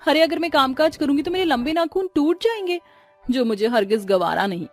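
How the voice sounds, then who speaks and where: Cartoon role: spoiled young wife voiced by a woman, high, whiny, theatrical and insolent, over clear music. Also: expressive, brisk. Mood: insolent, defiant. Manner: theatrical, defiant, whining. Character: vain, whiny, insolent young daughter-in-law obsessed with long nails. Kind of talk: Hindi cartoon story character acting. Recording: music under the voice.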